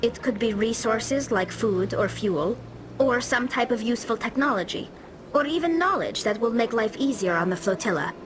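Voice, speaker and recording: A woman's voice: on the high side, lively intonation, moderate pace, noisy background, natural voice. A woman voicing an earnest young alien pilgrim in a video game, explaining herself formally. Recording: game dialogue over loud music.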